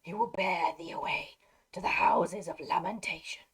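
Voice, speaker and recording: A woman's voice: fairly low pitch, moderate pace, a bit bright. Woman whispering as a cold undead wraith lord. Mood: menacing. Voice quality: hoarse whisper.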